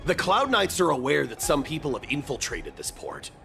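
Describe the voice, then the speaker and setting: An actor playing a gruff, suspicious man posing as port staff, brusque and gravelly, in a video game cutscene. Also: a little high, huge pitch swings, moderate pace, bright, forceful tone, soft background music, heavily acted. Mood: scoffing. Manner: brusque, challenging.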